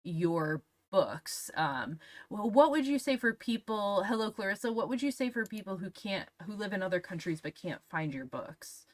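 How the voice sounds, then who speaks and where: A woman's voice: mid-range voice, very animated, moderate pace. A woman hosting a casual livestream interview, reading out comments and questions in an informal way.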